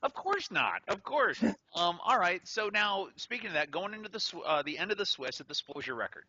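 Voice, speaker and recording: Upbeat man hosting, bright lo-fi sound. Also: high-pitched, sing-song, brisk, no echo. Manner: upbeat and joking, with many fillers. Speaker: show host interviewing a tournament winner. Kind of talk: casual interview. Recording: lo-fi recording.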